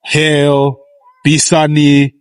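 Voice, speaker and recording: Measured young man, mid-high voice. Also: monotone, clear, resonant tone, dry recording. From Zimbabwe. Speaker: interviewee. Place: outdoors on a street.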